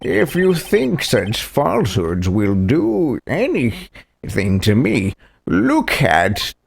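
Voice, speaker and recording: A man's voice: medium pitch, huge pitch swings, extremely slow, gravelly, very echoey, big-room sound, heavily acted. A man voicing a smug, theatrical ace detective from a video game, pompous and drawn out in delivery. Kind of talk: video game voice-over, read live.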